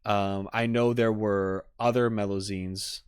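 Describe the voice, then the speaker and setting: A man's voice: fairly low pitch, level tone, slow pace, clean tone, no echo, studio quality. A man hosting a stream, giving casual, enthusiastic and analytical reaction commentary in a rambling way as he talks with chat. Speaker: streamer host.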